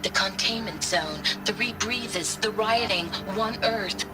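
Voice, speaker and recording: A woman's voice: medium pitch, unhurried, close to an everyday voice, low-quality recording. An actress playing an anxious, loving woman recording an intimate, plaintive message to her soldier partner. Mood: anguished. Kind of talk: dramatic voice-message monologue.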